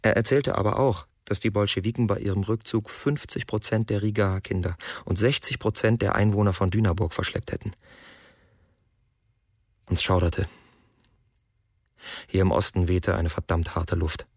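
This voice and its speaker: A man's voice: on the low side, moderate pace, pauses often, breathy edge, no room sound, studio quality. An actor playing a troubled German army officer in a radio drama, narrating his testimony soberly.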